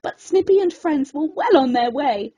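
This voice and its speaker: A woman storyteller reading a rhyming children's bedtime story in an expressive, theatrical and deliberate way. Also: sits high, lo-fi sound.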